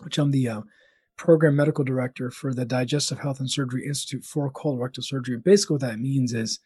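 A man with a mid-pitched, slightly husky voice talks through his career in an easygoing way. He trips over words, repeats himself and fills gaps with "um". The sound is dry and close. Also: darkish tone, no room sound. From Florida, United States. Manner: hesitant, many fillers and restarts. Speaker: colorectal surgeon, podcast guest. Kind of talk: casual interview talk.

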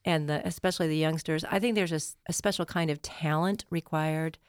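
Low-voiced woman, dry studio sound. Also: steady tone, fairly quick, clear, resonant tone, dry recording. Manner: admiring, earnest. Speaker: interview guest, theater player. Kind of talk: conversational radio interview.

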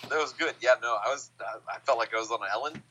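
A man's voice: mid-range voice, fast talker, sharp tone, breathy edge. A man in his late thirties, co-hosting with an easygoing manner, laughing along. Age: 30s. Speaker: podcast co-host. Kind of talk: casual chat. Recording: noisy stream audio with clicks.

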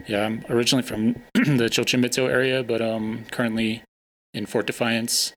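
A man interviewing a band in a casual, hesitant way, with many pauses and fillers. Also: medium pitch, little pitch movement, fairly bright tone, low-quality recording. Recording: faint ambient noise.

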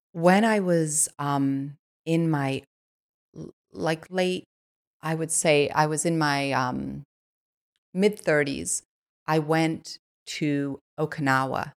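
Low, gravelly-voiced woman podcast guest. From Switzerland. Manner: enthusiastic, lots of "like" fillers. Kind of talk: casual conversational storytelling.

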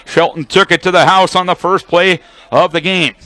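A man's voice: high-pitched, moderate pace. A man calling live football play-by-play on the radio as the announcer, in clipped, descriptive phrases. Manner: clipped descriptive phrases, excited calls on big plays. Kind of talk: live sports commentary, play-by-play.

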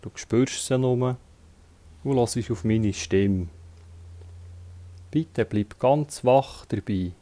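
A man with a Swiss German accent leading a guided relaxation as a yoga teacher, calmly giving short instructions with long pauses. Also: medium pitch.

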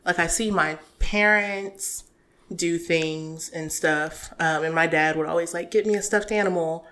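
A woman in her twenties, a podcast guest, chatting in a relaxed, giggly way with many fillers. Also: on the low side, a little slow. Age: 20s. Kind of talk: casual chat.

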